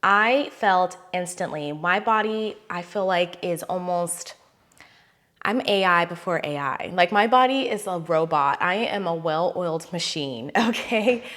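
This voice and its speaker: Woman, podcast host, casual chat, recovering voice, bright tone, low-pitched. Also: lively intonation. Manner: chatty, laughs at herself, drawn-out emphatic phrases. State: recovering from a lost voice.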